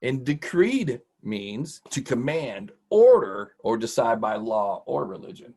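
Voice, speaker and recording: A man's voice: medium pitch, sing-song, slow pace, dark tone, breathy edge. A middle-aged man hosting an informal, encouraging vocabulary lesson for children in a folksy, casual way. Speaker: host, teacher.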